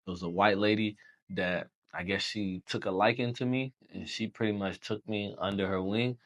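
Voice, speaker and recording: A young man from Haiti, a Creole speaker, telling his story as a podcast guest in relaxed slangy chat. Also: fairly low pitch, moderate pace. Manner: relaxed storytelling, slangy, says bro often. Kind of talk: casual chat.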